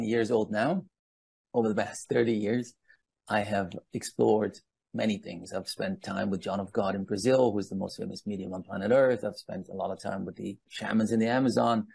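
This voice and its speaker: A man in his fifties from Iran speaking as a guest, telling personal stories and explaining in a deliberate, emphatic manner with rhetorical asides. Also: lowish voice, fairly even intonation, gravelly, warm tone, breathy edge, dry recording. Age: 50s. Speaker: guest speaker on palm leaf reading.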